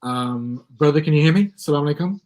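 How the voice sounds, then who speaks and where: A man's voice: on the high side, animated, fast talker, darkish tone. A man hosting a live election-night stream, hesitant with many ums, losing his voice a little. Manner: hesitant, frequent ums and restarts. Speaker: livestream host. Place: studio.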